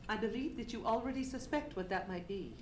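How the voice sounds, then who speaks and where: Echoey, high-voiced anxious lady, acted by a woman. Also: animated, a little fast, close to an everyday voice. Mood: anxious, pleading. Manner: formal, earnest, pleading. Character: Miss Stoner, anxious, pleading genteel young lady. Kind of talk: old-time radio play acting. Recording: roomy live stage, faint background noise.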